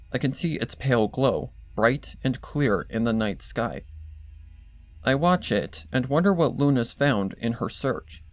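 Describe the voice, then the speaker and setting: A man's voice: medium pitch, dry recording. A man reading a scripted first-person horror fan story as its narrator. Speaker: fan fiction reader.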